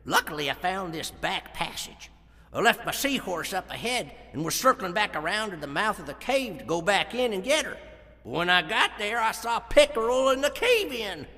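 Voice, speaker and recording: A man's voice: high-pitched, sing-song, moderate pace, pushed and bright, heavily acted. An actor playing a hearty, folksy western townsman and cheerful rescuer in a children's audio adventure. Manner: folksy, emphatic, jovial. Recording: cavernous reverb.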